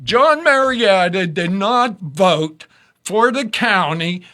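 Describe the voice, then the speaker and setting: A male talk radio host, his voice pitched high and bright. He talks slowly, laying out his points bluntly and with indignant emphasis. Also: animated. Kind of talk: talk radio political chat.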